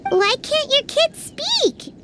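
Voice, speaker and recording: Casting: tiny game fairy sidekick, very high squeaky childlike voice, wildly animated, earnest and excitable. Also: female voice, slow pace, heavy background noise, heavily acted. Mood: curious. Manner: refers to self in third person, exclamatory. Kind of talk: anime-style video game voice acting. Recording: loud game music behind. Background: loud music.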